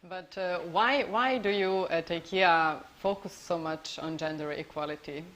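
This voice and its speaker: Woman moderator with a lowish voice. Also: measured pace, fairly bright tone. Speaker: interviewer and moderator. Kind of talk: online panel questioning.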